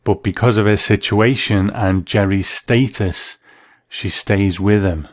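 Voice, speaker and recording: A man giving scripted commentary as a reviewer, in a measured, analytical manner. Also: on the low side, fairly even intonation, dry recording, clean studio sound. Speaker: narrator reviewing classic television drama.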